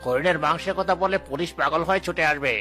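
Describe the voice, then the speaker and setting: A man's voice: fairly high pitch, fast, sharp tone, faint music behind. A man playing a jealous, scheming village moneylender in a cartoon, with a menacing, boastful, commanding manner. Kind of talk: animated cartoon dialogue.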